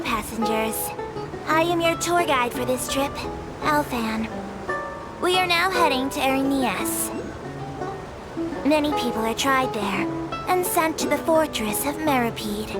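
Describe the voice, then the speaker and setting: A woman voicing a small, earnest female creature tour guide in a video game, making polite, formal, measured announcements. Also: a little high. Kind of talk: video game character voice acting. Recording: loud music over the voice.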